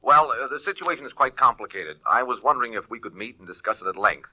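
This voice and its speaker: An actor playing a hurried, polite male insurance adjuster in a 1940s radio drama, brisk with hesitant pauses. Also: mid-range voice, expressive, sharp tone, breathy edge, dry recording, full character voice, low-quality recording.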